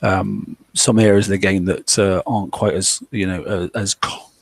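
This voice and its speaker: A man's voice: deep voice, fairly even intonation, normal speed, gravelly, husky, dry recording. A man hosting a casual discussion, rambling along with lots of fillers and restarts. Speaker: podcast host.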